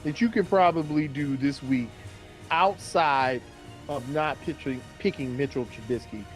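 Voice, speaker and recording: A man's voice: medium pitch, unhurried, faint music behind. A man co-hosting a casual sports show, bantering playfully and repeating phrases for emphasis.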